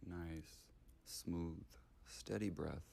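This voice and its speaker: A man leading a guided meditation in short, unhurried, soothing phrases with long gaps between them. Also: low voice, steady tone, vocal fry, mellow, slight background noise.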